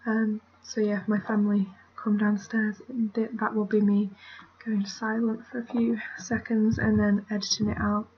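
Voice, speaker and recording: A woman's voice: mid-range voice, completely flat delivery, moderate pace, hardly any pauses, muffled recording, a little hiss or hum. A British woman giving a casual, rambling drawing tutorial while she works, often trailing off into mutters and whispers, recorded on a computer mic at her family home. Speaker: art tutorial creator.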